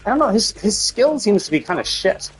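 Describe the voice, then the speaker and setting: A man's voice: sits high, very animated, a little fast, slight background noise. A man streaming a game, giving casual live commentary in a rambling, repetitive way as he thinks aloud. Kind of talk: casual live commentary, speculating on a game's story. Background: music with plucked guitar.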